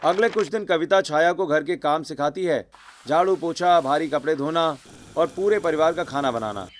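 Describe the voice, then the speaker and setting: A man narrating a scripted cartoon story. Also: on the high side.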